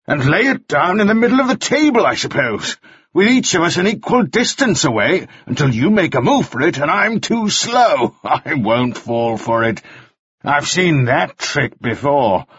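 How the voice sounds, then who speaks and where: A man's voice: on the high side, big pitch swings, a little slow, fairly bright tone, breathy edge, highs cut off, voice pushed up, heavily acted. A man voicing a brash, hot-tempered and suspicious young man who talks in a blustering, defensive way. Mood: contemptuous.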